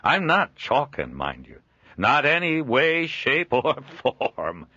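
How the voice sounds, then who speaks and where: A man voicing a jovial middle-aged traveling trader, hearty and quick to laugh. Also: wildly swooping pitch, bright, forceful tone, breathy edge, no room sound, dull audio, heavily acted. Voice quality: hearty, booming, laughs often.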